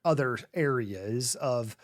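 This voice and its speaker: A man's voice: mid-range voice, slow. A man hosting a business podcast, introducing his guest and asking long, winding questions in an upbeat way. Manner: upbeat, rambling questions with restarts. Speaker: podcast host.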